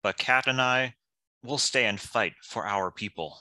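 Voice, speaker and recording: A man playing a resolute, loyal fantasy hero with an earnest, firm delivery in an animated table read. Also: medium pitch, unhurried, no echo.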